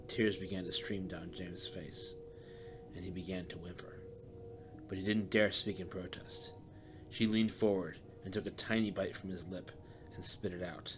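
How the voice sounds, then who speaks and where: A man narrates a fiction audiobook in a fairly low voice. He reads scripted prose, and music plays clearly behind him.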